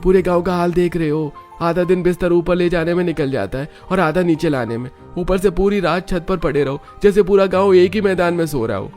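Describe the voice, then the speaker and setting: A man's voice: high-pitched, a little fast, hardly any pauses, darkish tone, faint music behind, close to an everyday voice. A man voicing an exasperated, reluctant young village bachelor son in a cartoon, protesting as he talks. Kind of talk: Hindi cartoon dialogue.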